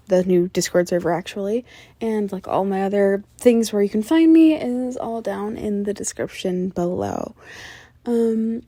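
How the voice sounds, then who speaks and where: Young woman, relaxed creaky voice. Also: medium pitch, no room sound. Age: young adult. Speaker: ASMR voice performer and channel host. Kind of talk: casual chat to listeners.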